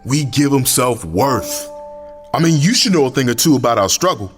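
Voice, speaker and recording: An actor playing an intense, embittered young community activist in an audio drama, impassioned and slangy. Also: male voice, mid-range voice, expressive, moderate pace, in a large hall, music in the background, lightly acted. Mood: firm.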